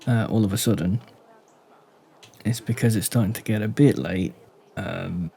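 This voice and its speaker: Guy narrating his game build, keeps it low and quiet, thinking out loud at a desk mic. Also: vocal fry, husky. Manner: thinks aloud, asks the viewer rhetorical questions; speaks deliberately low and quiet. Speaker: gaming YouTuber. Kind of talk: casual let's-play building commentary.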